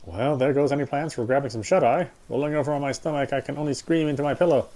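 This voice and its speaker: A man reading a game character's story narration aloud, sometimes stumbling and rereading, with music quietly behind him. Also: mid-range voice, fast.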